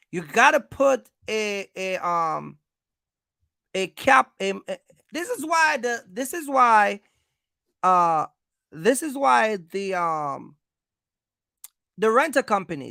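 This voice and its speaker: American livestream coach giving straight advice, gravelly edge, punchy bright tone, repeats stuff to drive it home, really dry sound. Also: medium pitch, very animated, unhurried, no echo. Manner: emphatic, repetitive, checks in with listeners. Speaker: trucking business coach and livestream host. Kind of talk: informal livestream advice talk.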